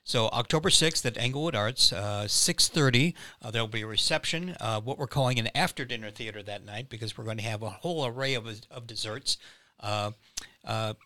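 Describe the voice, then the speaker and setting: Male interview guest, bright and crisp-edged tone, very dry sound, explains things conversationally with halting asides. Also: medium pitch, no room sound. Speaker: playwright guest.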